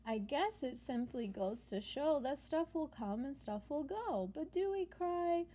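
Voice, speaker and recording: A woman preschool teacher reading a picture book aloud to young children in simple, sing-song phrases, pausing after questions. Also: high voice, lively intonation, warm tone, slight background noise. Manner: simple short phrases with deliberate pauses for answers.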